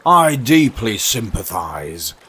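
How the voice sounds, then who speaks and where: A man voicing a pompous, unctuous, falsely sorrowful walrus in a storybook poem, with a slow, grandly drawn-out delivery. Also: on the low side, big pitch swings, bright, forceful tone, slight background noise, heavily acted.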